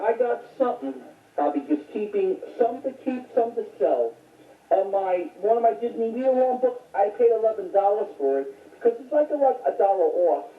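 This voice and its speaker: A woman giving a casual, unscripted show-and-tell of a home collection in a rambling, matter-of-fact way. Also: fairly high pitch. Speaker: home video collector showing recent finds. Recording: tinny phone-like audio, echoey, distant mic.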